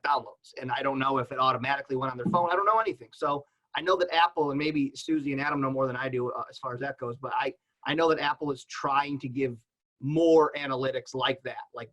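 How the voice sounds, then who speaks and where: A man's voice: mid-range voice, fairly quick, a bit bright, rough recording. A man talking casually as a podcaster on a panel, in a plainspoken way.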